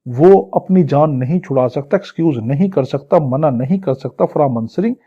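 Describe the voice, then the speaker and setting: A man's voice: a little high, lively intonation, moderate pace, mellow, breathy edge. A man with a Pakistani accent lecturing exam students as a law teacher, explaining didactically phrase by phrase and switching between two languages. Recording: dull, muffled lo-fi audio.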